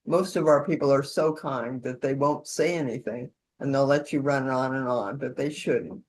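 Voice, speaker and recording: A woman's voice: rumbling low, normal speed, a little mellow, a little hiss or hum. A woman leading an instructional session in an informal, conversational way, with role-played support calls. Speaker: session leader demonstrating peer-support calls.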